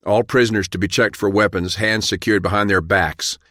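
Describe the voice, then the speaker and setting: Male narrator as battle-weary ex-army colonel, low voice, commanding, clipped, principled, war novel audiobook, dry studio sound. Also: steady tone, fairly quick, bright, forceful tone, no echo. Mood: commanding, stern.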